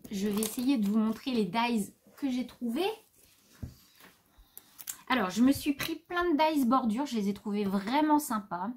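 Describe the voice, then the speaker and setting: A woman's voice: on the high side, a little slow. Chatty woman showing her craft haul. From France. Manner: chatty, rambling, talks to her pet. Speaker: craft vlogger. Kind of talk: casual show-and-tell haul. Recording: faint background noise.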